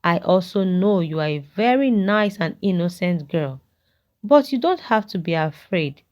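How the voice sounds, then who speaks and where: Woman as kindly old man, fatherly, regretful, measured, folktale storytelling. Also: fairly low pitch, animated, darkish tone, lo-fi sound. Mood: reassuring. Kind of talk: character dialogue in a narrated folktale.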